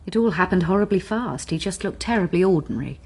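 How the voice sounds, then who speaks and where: An actress playing an aloof, snobbish wealthy widow in a radio drama, cool, languid and evasive. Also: female voice, fairly low pitch, lively intonation, quick pace, darkish tone, husky. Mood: cool, detached.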